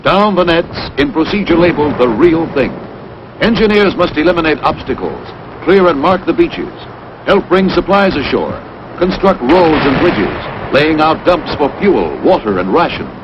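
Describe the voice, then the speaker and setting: A man's voice: fairly high pitch, big pitch swings, normal speed. A man narrating a wartime training film in an emphatic, punchy 1940s newsreel-announcer style. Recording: dull, hissy 1940s film soundtrack.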